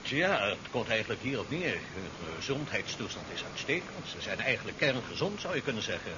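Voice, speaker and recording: An actor playing a measured, deferential ship's doctor in a 1970s science fiction radio play. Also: male voice, medium pitch, sing-song, lightly acted. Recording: dull, noisy 1970s radio drama recording.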